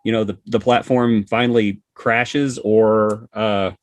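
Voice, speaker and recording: A man's voice: on the low side, flat delivery, slow pace, no room sound. A man chatting casually as a podcast guest, with sarcastic, joking asides. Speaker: podcast guest, hobby content creator. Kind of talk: casual chat.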